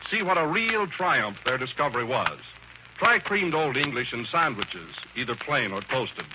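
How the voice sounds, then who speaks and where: A male radio announcer with a fairly high voice delivers a polished, persuasive 1930s commercial read. The old broadcast recording is muffled and clearly noisy.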